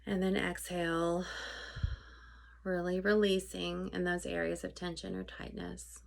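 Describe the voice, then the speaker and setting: Woman w a lowish voice doing guided meditation, unscripted and chatty, halting phrases that kinda trail off soft. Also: flat delivery, gravelly, a little hiss or hum.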